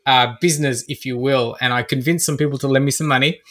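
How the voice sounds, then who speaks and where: A man's voice: medium pitch, fairly even intonation, fast talker, fairly bright tone. An Australian-accented man around forty, a business coach answering interview questions conversationally. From Australia (Melbourne), born in Fiji. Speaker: podcast guest, business coach.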